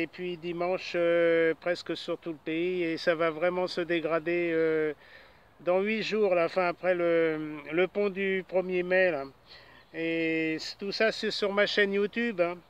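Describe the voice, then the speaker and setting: A man's voice: sits high, clean tone, highs cut off. A man chatting casually as an amateur radio operator, speaking from a portable station outdoors.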